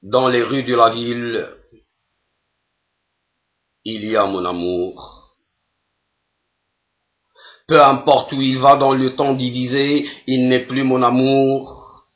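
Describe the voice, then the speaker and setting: Slow, even-toned man reciting poetry. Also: medium pitch, lo-fi sound. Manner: measured, deliberate, with long pauses between phrases.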